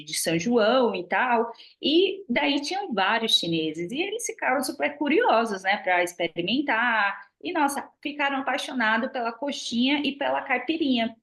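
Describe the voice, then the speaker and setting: A woman's voice: on the high side, fairly bright tone. A Brazilian woman with a Brazilian Portuguese accent, talking about business as a webinar guest over a video call, chatty and full of fillers. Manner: chatty, frequent fillers and restarts. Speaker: guest speaker on trade. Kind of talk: webinar talk. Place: lives in China.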